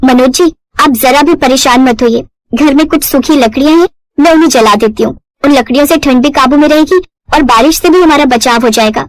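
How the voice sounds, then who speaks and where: A woman's voice: high voice, brisk, no room sound, lightly acted, poor audio. A woman voicing a caring, anxious young wife and mother in a cartoon moral story, earnest and concerned. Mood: reassuring, caring.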